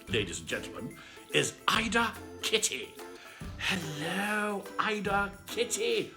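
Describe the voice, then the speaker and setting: A performer voicing a pompous aristocratic cat lord, gushing theatrically and affectionately as host of a live bedtime story show. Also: mid-range voice. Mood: excited, grand. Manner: pompous, gushing, luvvie exclamations. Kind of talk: theatrical livestream greeting and shout-outs.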